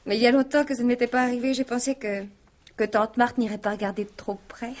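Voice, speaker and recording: Eager young niece with a fairly high voice played by an actress. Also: female voice, steady tone, fast, a little mellow, close to an everyday voice. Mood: playful, conspiratorial. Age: young adult. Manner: earnest, eager, tender. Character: dreamy, eager nineteen-year-old niece, affectionate and determined. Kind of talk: French radio mystery drama acting.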